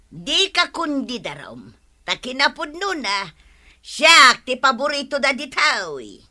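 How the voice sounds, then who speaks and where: Female actor: sharp-tongued village scold, Ilocano accent, high swooping voice, comedy drama timing. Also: very animated, slow pace, exaggerated voice. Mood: goading.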